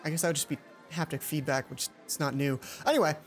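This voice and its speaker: Female let's player, lower register, expressive story reader with off-script asides, loud game music underneath. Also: fast. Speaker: let's play host. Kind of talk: reading a visual novel aloud with asides. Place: indoor gaming commentary recording with loud game music behind.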